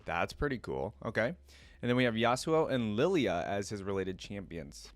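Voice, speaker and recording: A man giving casual reaction commentary, reading aloud and thinking out loud in an informal, musing way. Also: on the low side, sing-song, moderate pace, dry recording. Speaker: reaction video creator.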